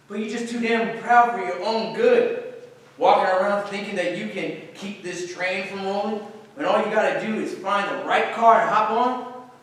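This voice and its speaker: Brash American man, played by a stage actor, mocking and worldly, somewhat high voice, distant hall sound. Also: a bit bright. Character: brash, mocking, worldly male actor taunting his friend. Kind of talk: theatrical stage dialogue. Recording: echoey hall, distant microphone.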